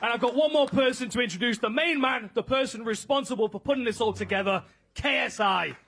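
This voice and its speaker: A man's voice: very high voice, brisk, vocal fry. A man hosting a press conference, energetically introducing the fighters on stage. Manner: energetic announcer. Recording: crowd and faint noise behind.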